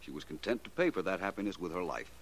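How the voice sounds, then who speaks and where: An actor playing a shrewd, confident gentleman detective in a 1940s radio drama, articulate and assured. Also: low-pitched, animated, quick pace, vocal fry, slight background noise. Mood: explanatory, assured.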